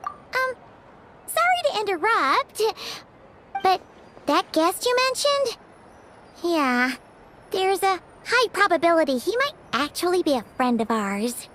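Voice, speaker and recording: A woman voicing a tiny, cheeky, excitable childlike fairy companion in an anime game, with a squeaky delivery. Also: slow, long pauses, a little hiss or hum, full character voice. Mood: hesitant. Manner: squeaky, refers to self in third person.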